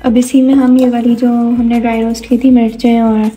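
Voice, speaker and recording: A woman talks through a home recipe in a calm, explanatory way, voicing over her cooking. Her voice sits a little high and mellow, with soft music playing faintly behind her. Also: moderate pace, husky. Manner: calm, instructive, explains each step. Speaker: cooking channel host. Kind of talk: step-by-step recipe narration.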